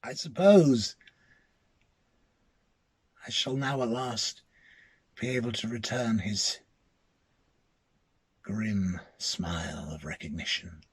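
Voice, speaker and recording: An actor performs a first-person tale at a slow, deliberate pace. His voice is husky and breathy. He halts and repeats words as he goes, in a dramatic storytelling style. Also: on the low side. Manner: hesitant, halting, repeating words.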